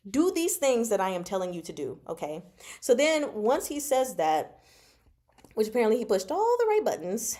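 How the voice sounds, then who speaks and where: A woman with a mid-pitched voice hosts a casual book discussion. She retells scenes with slangy, emphatic delivery, slipping into characters' dialogue in her own voice. She often punctuates her points with short check-in questions. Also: mellow. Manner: punctuates points with "okay?" and "right?". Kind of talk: casual animated recap and commentary on a novel.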